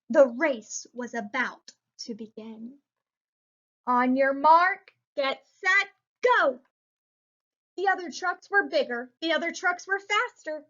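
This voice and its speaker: High-voiced woman reading a picture book. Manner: expressive, dramatic emphasis. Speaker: story reader. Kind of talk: children's picture book read-aloud. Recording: muffled lo-fi recording.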